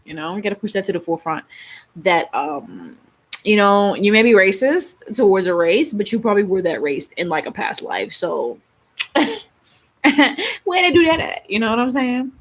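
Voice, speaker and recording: A woman's voice: mid-range voice, sing-song, normal speed. Young baltimore woman rambling on a live stream, lots of likes and you knows, laughs a lot. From Baltimore, Maryland. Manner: chatty, rambling, frequent laughs and fillers. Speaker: livestream host. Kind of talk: casual live-stream chat.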